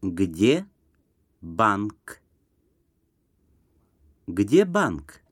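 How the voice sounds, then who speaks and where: A man reading short phrases slowly and carefully for a language lesson, pausing between words and repeating each phrase. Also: on the low side, big pitch swings, husky, no echo, clean studio sound.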